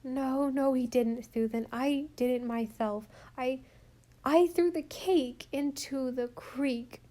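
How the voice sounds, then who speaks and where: A woman voicing a small, earnest little girl who lisps her s sounds and talks in a slow, pleading way. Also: sits high, mellow, pitched above the performer's own voice. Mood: tearful pleading. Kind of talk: storybook reading.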